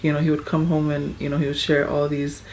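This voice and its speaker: A woman's voice: low-pitched, completely flat delivery, quick pace, a little mellow, slight background noise, rough recording. A middle-aged woman, a mother speaking casually and frankly in an interview, with many "you know"s. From Hawaii. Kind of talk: testimonial interview.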